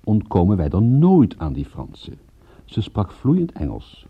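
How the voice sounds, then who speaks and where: An actor playing a wry, weary private detective in a radio drama, speaking in a dry, sardonic and understated way. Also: male voice, fairly low pitch, wildly swooping pitch, measured pace, breathy edge, heavily acted. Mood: sardonic narration. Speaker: actor playing narrator and lead. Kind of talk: radio drama acting and first-person narration. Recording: muffled, thin phone-like radio recording.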